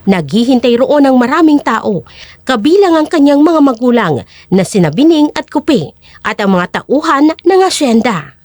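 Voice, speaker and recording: A woman's voice: high voice, very animated, normal speed, a little mellow, no room sound, clean studio sound. A woman giving scripted, dramatic storytelling narration for an audio drama.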